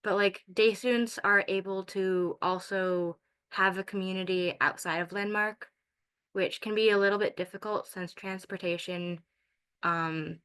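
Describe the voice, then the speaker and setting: A teenage young woman from Morocco speaks as a high school student in an informal question session. Her voice is clear and smooth at a middle pitch. She talks slowly and hesitantly, often searching for the right words. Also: fairly even intonation, fairly bright tone. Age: late teens. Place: video call.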